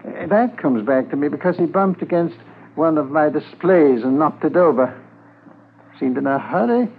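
On a tinny, faintly noisy old radio recording, a chatty male shopkeeper with a highish voice. He is vague and hesitant, rambling along as he eagerly tries to help, in fifties radio drama style. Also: lively intonation, moderate pace. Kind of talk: 1950s radio drama acting.

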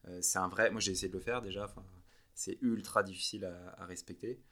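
Deep-voiced man hosting a podcast. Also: steady tone, sharp tone. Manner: halting questions, frequent short acknowledgments. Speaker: podcast host. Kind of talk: casual podcast interview.

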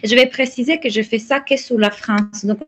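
A woman from Italy with an Italian accent, a coach speaking slowly and haltingly in a casual interview, with many restarts. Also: fairly high pitch, fairly even intonation. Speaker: business and life coach, guest.

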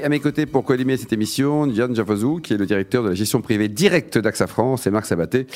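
A middle-aged man hosting a radio interview at a rapid clip, his voice sitting at a middle pitch. He is brisk and chatty and keeps cutting in with short remarks. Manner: brisk, chatty, jumps in with quick comments. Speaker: radio host.

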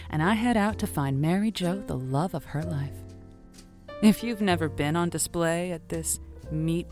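A woman's voice: lowish voice, a little mellow, close to an everyday voice. A woman playing a wry, theatrical host of a lonely-hearts radio show in an audio drama, telling stories playfully. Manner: playful, wry, storytelling delivery. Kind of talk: semi-improvised audio drama monologue.

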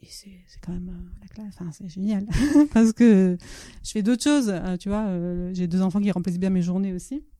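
Italian-born woman author chatting in an interview, very dark soft tone, stops and rephrases a lot. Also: medium pitch, no echo, studio quality. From Naples region, Italy. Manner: hesitant, halting, rephrases often. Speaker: author and blogger being interviewed. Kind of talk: casual conversational interview.

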